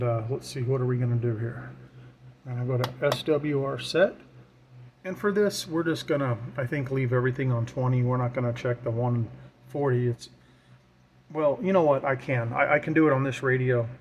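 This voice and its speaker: A man giving an informal hands-on tutorial as a hobbyist, thinking aloud while he works. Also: mid-range voice. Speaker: radio hobbyist demonstrating his equipment. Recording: clear background noise.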